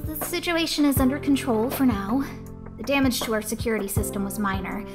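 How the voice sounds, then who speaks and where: Woman voicing young anime game researcher boss, high pitched, proper and earnest, bit worried, music behind. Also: brisk, lightly acted. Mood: concerned. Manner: polite, articulate, formal. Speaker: game character, space station lead researcher. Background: game background music.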